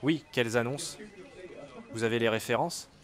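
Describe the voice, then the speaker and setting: A man reading everyday French dialogues for learners, with clear, measured scripted delivery. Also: mid-range voice, natural voice.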